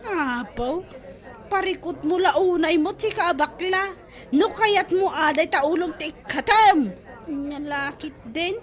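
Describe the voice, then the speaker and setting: A woman's voice: extremely high pitch, takes it slowly. A woman playing a brash, easily offended wife in a comedy drama, exclamatory and argumentative. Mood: indignant. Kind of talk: Ilocano comedy drama acting.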